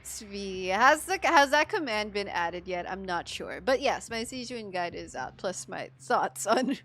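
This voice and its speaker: A woman's voice: mid-range voice, animated, unhurried, fairly bright tone, a little hiss or hum. A woman from the Philippines doing casual live gameplay commentary as a streamer, playful and slangy. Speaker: game streamer.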